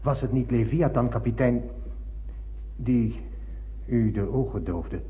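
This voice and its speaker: A man's voice: mid-range voice, takes it slowly, phone-quality sound, close to an everyday voice. An actor playing a deferential officer addressing his spaceship captain in a radio drama. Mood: deferential, questioning. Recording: thin, long-reverb old radio recording.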